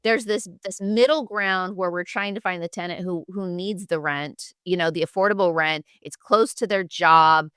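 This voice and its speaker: A woman's voice: lowish voice, normal speed, few pauses, fairly bright tone, clear, resonant tone, no echo, studio quality. A woman hosting a conversational interview, speaking casually and emphatically. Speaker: podcast host, real estate advisor.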